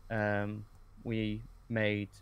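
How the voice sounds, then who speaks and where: A young man reminisces as an interview guest in a lowish, very even voice. He is low-key and hesitant, often stopping mid-sentence to find his words. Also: drawn-out words, clear, resonant tone, highs cut off. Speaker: podcast guest, script writer.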